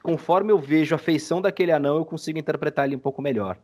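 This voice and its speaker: Man, Brazilian accent, fairly high pitch, casual interview chat, podcast guest. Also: very fast, a little mellow. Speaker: podcast guest, audio drama maker.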